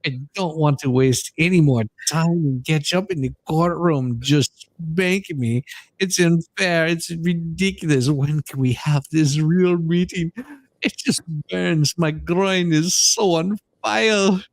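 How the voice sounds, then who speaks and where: Man playing a melodramatic, pleading woman prisoner, high-pitched. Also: dry recording, poor audio. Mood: pleading, overwrought. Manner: theatrical, drawn-out innuendo-laden delivery. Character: breathy, pleading, suggestively moaning female prisoner writing to judge. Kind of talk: comedic dramatic letter reading.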